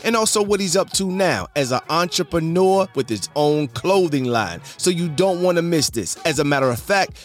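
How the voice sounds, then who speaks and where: A man's voice: fairly high pitch, expressive, moderate pace, hardly any pauses, soft background music. Upbeat man hosting a podcast. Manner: friendly, laughs often, says "man" a lot. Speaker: podcast host. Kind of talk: casual interview chat.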